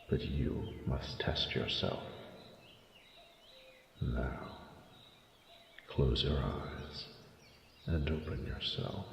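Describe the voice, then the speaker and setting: An ancient, grandiose dragon, speaks with a bottomless, gravel-filled voice. He is slow and formal, gently commanding like a proud mentor to a lover. The fantasy roleplay recording has long cavernous reverb. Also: heavily acted. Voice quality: deep gravelly, often breathy. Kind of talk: scripted fantasy roleplay audio drama. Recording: echoey cavernous reverb with ambient noise.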